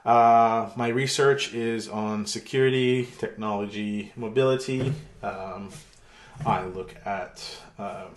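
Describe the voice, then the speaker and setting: A middle-aged man from Turkey giving a pre-recorded online university lecture in a relaxed, chatty way with many fillers. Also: fairly low pitch, slow pace. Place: home room. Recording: echoey room.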